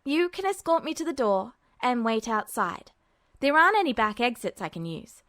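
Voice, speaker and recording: A woman voicing a brash, impatient young alchemist who talks quick and defiant, in a fan audiobook reading. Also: a little high, very animated, a bit bright, no echo, clean studio sound.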